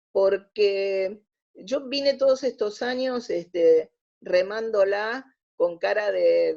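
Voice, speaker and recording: A woman's voice: fairly low pitch, creaky, darkish tone, dull audio. An Argentine woman answering as an interview guest in a conversational, explanatory way, often rephrasing mid-sentence. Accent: Argentine Spanish. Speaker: interview guest, radio drama researcher.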